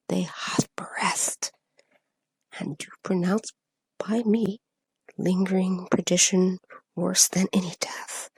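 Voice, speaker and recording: A woman playing an airy spirit servant in an amateur Shakespeare radio play, breathy and often dropping into a whisper. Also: mid-range voice, darkish tone, no room sound, full character voice, low-quality recording. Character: Ariel, airy spirit servant, menacing minister of fate, eager to please.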